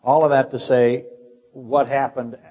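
A husky-voiced older man preaches from the pulpit, teaching by throwing one rhetorical question after another. His voice sits at an ordinary pitch, and it rings through a large church with long reverb. The recording itself sounds dull and muffled. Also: little pitch movement, unhurried. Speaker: pastor. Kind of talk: sermon. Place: church sanctuary.

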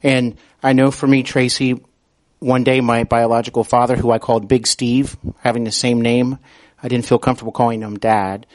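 A man hosting a podcast, speaking in a polite, somewhat halting way. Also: medium pitch, flat delivery, dry recording. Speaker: podcast host. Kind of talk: interview talk and show announcements.

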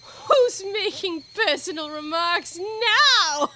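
A woman doing a loud, shrill, taunting mad hatter. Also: big pitch swings, extremely slow, slight background noise, full character voice. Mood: taunting, triumphant. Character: rude, overbearing, triumphant mad storybook hatter, male.

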